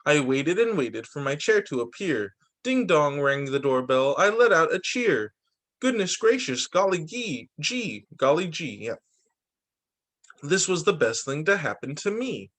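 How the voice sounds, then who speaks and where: Man as upbeat young storybook boy, animated. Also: a little high, a little slow, full character voice. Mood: excited, hopeful. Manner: sing-song rhyming storybook delivery.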